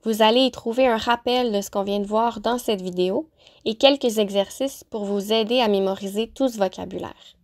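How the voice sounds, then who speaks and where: Woman teaching slowly. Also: mid-range voice. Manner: slow, carefully articulated example phrases. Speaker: French-as-a-second-language teacher. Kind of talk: clear, deliberate vocabulary lesson narration.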